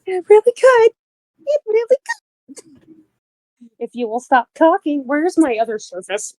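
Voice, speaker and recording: A woman's voice: high voice, sing-song, unhurried, darkish tone, no echo, low-quality recording. A woman talking casually and informally, with some swearing, as a player in a tabletop game.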